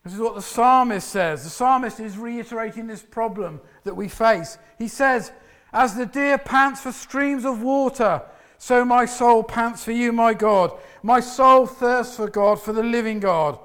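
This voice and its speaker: High-voiced guy preaching, big booming voice, walks around, goes quiet when he prays. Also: unhurried. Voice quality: loud and booming. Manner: loud booming delivery, paces about; drops to soft hushed prayer voice. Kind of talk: sermon.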